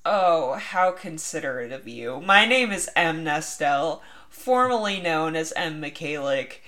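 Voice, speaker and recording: Young woman, slightly low voice, American accent, playful joking, casual podcast chat. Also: expressive, a little slow, a bit bright. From Northeast Ohio, United States. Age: 20s. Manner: playful, mock-formal joking. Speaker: podcast co-host.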